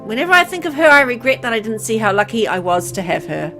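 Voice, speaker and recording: A woman's voice: fairly high pitch, very animated, fast, fairly bright tone, voice pushed up. Woman voicing a brooding, dying young man, music behind. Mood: regretful. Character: bitter, resigned twenty-five-year-old dying man, brooding narrator. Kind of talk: dramatic first-person visual novel narration.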